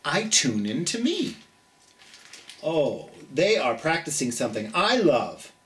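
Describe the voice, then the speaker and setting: An American storytime host reading a picture book to children and chatting in a gentle, theatrical way. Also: mid-range voice, very animated, slow pace, distant mic.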